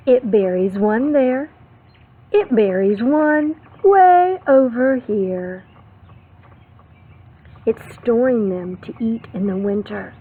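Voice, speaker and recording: Slow-paced preschool teacher woman, tinny recording. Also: mid-range voice, slightly rough. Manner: expressive, simple teacherly phrasing with questions to children. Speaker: preschool teacher reading a picture book aloud. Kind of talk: storytime read-aloud for young children with simple explanations. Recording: phone-like narrow band, faint background noise.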